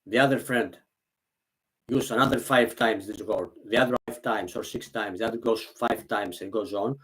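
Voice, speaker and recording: A man whose first language is Greek, speaking English slowly and earnestly to the camera. He often halts, repeats himself and restarts his phrases. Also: lowish voice, fairly bright tone, a little hiss or hum, low-quality recording. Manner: halting, repeats and restarts phrases, non-native grammar. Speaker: vlogger. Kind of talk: slow, earnest monologue to camera.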